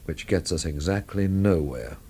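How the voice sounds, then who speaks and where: Low-voiced, wry world-weary investigator. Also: male voice, normal speed, darkish tone, husky. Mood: probing, dry. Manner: dry, literary, laconic. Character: wry, world-weary male investigator narrating his own inquiry. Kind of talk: radio drama narration and dialogue.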